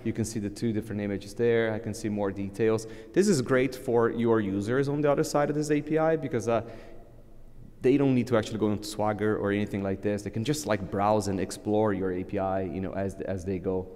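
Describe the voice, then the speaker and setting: A man's voice: fairly low pitch, brisk. Portuguese-native man presenting in a hall. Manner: relaxed, frequent fillers and restarts. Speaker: conference speaker. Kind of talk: live technical talk with demo. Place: large conference hall, at a lectern.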